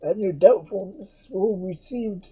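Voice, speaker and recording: A man voicing a calm, grave priest with a breathy voice and measured delivery. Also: high voice, pitched above the performer's own voice, exaggerated voice.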